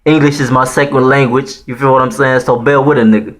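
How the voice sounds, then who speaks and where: Man, 30s, Haitian accent, casual profane storyteller, middle-pitched voice. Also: little pitch movement, a little fast, a little hiss or hum. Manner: slangy and profane, peppered with "man" and "you know what I'm saying". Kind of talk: casual spoken storytelling to viewers.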